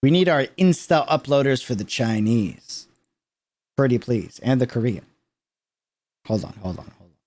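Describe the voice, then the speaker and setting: A man's voice: medium pitch, expressive, normal speed, long pauses, darkish tone. A man giving casual, slangy livestream reaction commentary, thinking aloud as he goes. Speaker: reaction streamer.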